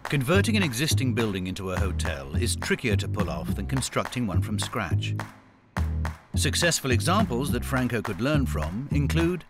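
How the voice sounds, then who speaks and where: A man's voice: medium pitch, sing-song, normal speed. A man with a British accent delivering scripted documentary narration. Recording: music under the voice. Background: background music.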